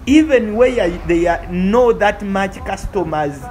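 A woman's voice: medium pitch, lively intonation, takes it slowly, darkish tone, a little hiss or hum. A woman with a Ugandan accent narrates a travel vlog casually, repeating phrases for emphasis. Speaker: vlogger. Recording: music under the voice. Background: music.

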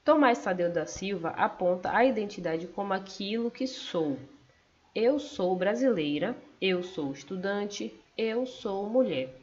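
A woman's voice: mid-range voice, lively intonation, unhurried. A Brazilian woman student giving a class presentation over slides, explaining step by step with some hesitant fillers. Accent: Brazilian Portuguese. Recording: dull lo-fi recording.

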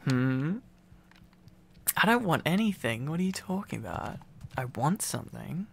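A young man doing a boyfriend ASMR roleplay, clingy, teasing and silly, rambling playfully with halting repetitions. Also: on the high side, very animated, gravelly, slight background noise.

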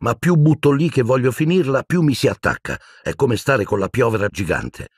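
A man's voice: mid-range voice, animated, moderate pace, husky, no room sound, clean studio sound, voice pushed up. A man voicing a grumbling, clumsy teenage schoolboy in an audiobook.

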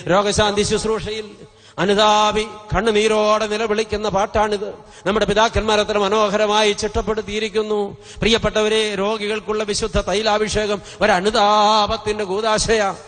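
Middle aged priest guy preaching hard, really high voice, repeats phrases for punch, big echoey hall pa. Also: completely flat delivery, moderate pace, a bit bright. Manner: impassioned, exhorting, emphatic with repeated phrases. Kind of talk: fervent revival sermon. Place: convention stage at a podium. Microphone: stand microphone close to mouth. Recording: reverberant hall sound system.

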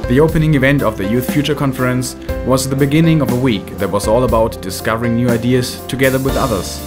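A man narrating a documentary film in a measured, scripted way. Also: medium pitch. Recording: voice-over with loud music behind.

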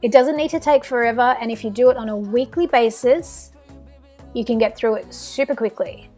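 A woman's voice: a little high, normal speed, warm tone. A young woman from Australia narrating her home cleaning routine in a friendly, encouraging voice-over, with music playing behind her. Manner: friendly, practical, encouraging tips. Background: background music.

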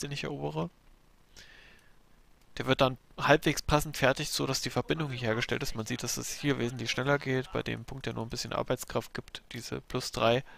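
Gravelly man with a cold. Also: mid-range voice. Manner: relaxed, explanatory, thinks aloud with fillers. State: has a bad cold. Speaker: tournament player commentating his own match. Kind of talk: game replay commentary.

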